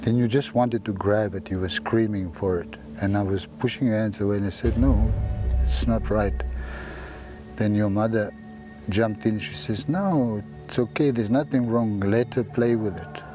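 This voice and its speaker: An older man gives a documentary interview. His voice sits fairly low, and he keeps stumbling and repeating words as he searches for them. Music plays under him. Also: steady tone. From former Yugoslavia. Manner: halting, many restarts and repeated words.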